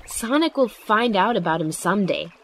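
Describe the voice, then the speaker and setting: A woman's voice: mid-range voice, expressive, moderate pace, a little hiss or hum, close to an everyday voice. A woman playing a level-headed, studious young female character in an amateur radio drama. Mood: calm, serious.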